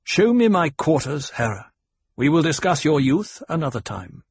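A man's voice: medium pitch, animated, normal speed, husky, dry recording, pitched above the performer's own voice. Man playing an earnest, self-possessed young newcomer. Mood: firm.

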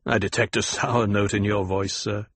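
A commanding nobleman acted by a male narrator, husky and closely, dryly recorded. He is shrewd and composed, giving courteous orders and questions in a measured audiobook performance. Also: fairly low pitch, level tone, a bit bright, dry recording. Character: calm, commanding, shrewd noble duke, a grown man. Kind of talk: audiobook character acting.

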